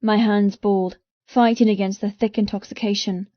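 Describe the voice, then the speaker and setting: A woman reading first-person romance fiction as an audiobook narrator, with intense, expressive delivery. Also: medium pitch, normal speed, dry recording, highs cut off.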